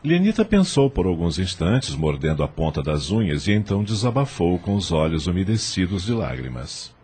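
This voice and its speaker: A man's voice: sits low, big pitch swings, moderate pace, a little mellow, slightly rough. A man narrating a radio drama in a scripted, dramatic storytelling style.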